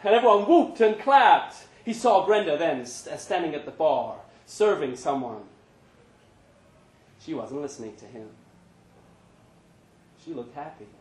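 A man's voice: on the high side, lively intonation, a little slow, long pauses, a little hiss or hum. A man giving a scripted narration of a short story at a live reading indoors. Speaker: reader of short fiction. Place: pub event, indoors. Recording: echoey live room.

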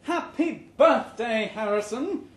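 Male reader as gushing female HR manager: high, loud, sing-song, merry corporate cheer, live story reading, roomy sound. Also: lively intonation, slow, full character voice. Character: gushing, merry female head of human resources. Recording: roomy, noisy live venue recording.

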